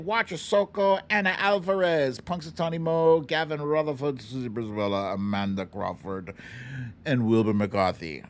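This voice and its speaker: A man hosting a casual livestream quiz, chatty and playful as he banters and calls out to viewers. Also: on the high side. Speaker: host of a live online show.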